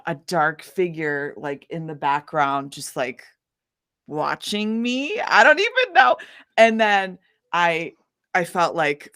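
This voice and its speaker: Chatty woman telling stories, slightly low voice. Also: clean tone. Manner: animated, informal, acts out quotes. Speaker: singer-songwriter interview guest. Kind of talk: casual chatty interview storytelling.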